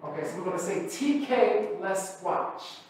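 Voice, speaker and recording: Man hosting live theater, supportive, halting, far from the mic in a very echoey gallery. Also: high voice, animated, darkish tone, a little hiss or hum. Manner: supportive, repeats words, halting. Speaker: playback theater facilitator. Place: art gallery, live audience. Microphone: far camera mic.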